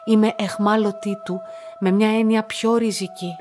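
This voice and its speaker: An actress playing a solitary young woman in a radio play, polite, measured and introspective, quietly devoted and resolute. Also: medium pitch, fairly even intonation, over music, close to an everyday voice. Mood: hesitant, sincere. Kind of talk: radio drama acting and first-person narration.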